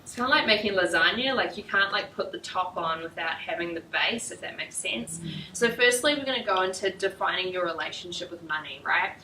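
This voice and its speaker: A woman's voice: on the low side, brisk, pushed and bright, slight background noise. Upbeat young woman, echoey and distant. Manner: upbeat, gushing, heavy on fillers. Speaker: entrepreneur and speaker. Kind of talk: casual vlog and workshop talk.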